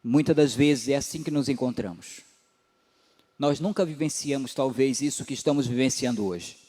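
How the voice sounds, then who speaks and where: A man in his forties preaching a sermon as pastor, building to emphatic rises and dropping low again. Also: normal speed, dark tone. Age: 40s. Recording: long hall reverb.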